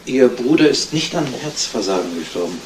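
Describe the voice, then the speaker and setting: A man's voice: mid-range voice, sing-song, a little fast, noisy background, close to an everyday voice. An actor playing a police detective in a radio crime drama, matter-of-fact, politely probing with his questions. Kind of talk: 1970s German radio crime drama acting.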